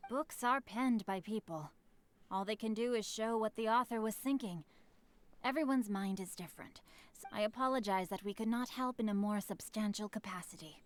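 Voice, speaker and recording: A woman's voice: medium pitch, moderate pace, close to an everyday voice. A woman voicing a courteous, bookish teenage boy in a video game, speaking in ornate, formal, poetic phrases. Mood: courteous, measured. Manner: ornate, formal, old-fashioned wording.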